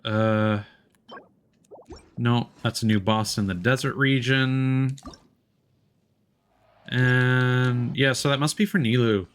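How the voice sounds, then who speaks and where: A man's voice: mid-range voice, steady tone, slow pace, pauses often, clean tone. A man chatting casually as he streams a video game. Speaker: livestreamer playing a video game. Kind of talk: casual livestream chat over gameplay.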